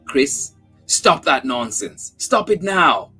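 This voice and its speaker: A man's voice: fairly high pitch, sing-song, normal speed, faint music behind, pitched above the performer's own voice. A man voicing an anxious young mother in a storybook reading. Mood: stern. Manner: coaxing, firm.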